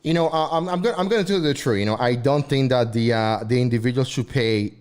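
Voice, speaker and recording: A man's voice: medium pitch, quick pace, darkish tone, clean tone. A man co-hosting a casual talk show, rambling and repeating himself as he tells stories. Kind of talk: casual radio chat.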